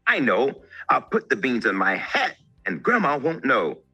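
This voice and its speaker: A man's voice: mid-range voice, wildly swooping pitch, unhurried, pushed and bright, slightly rough, soft background music, full character voice. A man voicing an eager, sneaky young male spider in a children's folktale. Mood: scheming.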